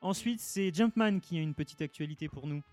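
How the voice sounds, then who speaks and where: A man's voice: fairly high pitch, darkish tone, no room sound, soft background music. A man hosting a casual video game news chat, handing off segments and joking with his co-hosts. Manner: hands off segments, quick jokey interjections. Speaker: podcast host.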